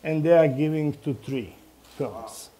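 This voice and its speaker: Slow-talking older man with Croatian accent. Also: fairly high pitch, warm tone. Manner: halting, non-native English phrasing. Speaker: film director and professor speaking at a talk. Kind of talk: informal public talk with anecdotes. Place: medium-sized indoor meeting room before a small audience. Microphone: headset microphone.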